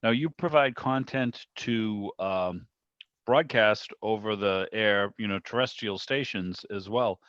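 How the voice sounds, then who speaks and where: A man's voice: fairly low pitch, slow pace, a bit bright, no room sound. A man chatting casually and asking questions in an online meeting over lo-fi video call audio. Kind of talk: casual discussion.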